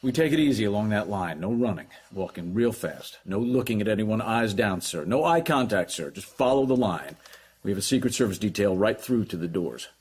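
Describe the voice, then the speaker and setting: An actor playing a dutiful government security agent, briefing in clipped, deferential phrases in an audio drama. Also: male voice, on the low side, lively intonation, fairly quick, a little mellow, breathy edge. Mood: brisk, procedural. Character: brisk, dutiful male Secret Service agent.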